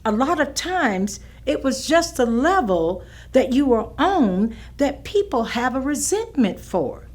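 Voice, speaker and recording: An actress playing a firm, caring older schoolteacher who tells her recollections in an emphatic, measured way in a staged reading. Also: high voice, big pitch swings, darkish tone, exaggerated voice. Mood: thoughtful, sympathetic. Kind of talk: staged reading of oral history testimony.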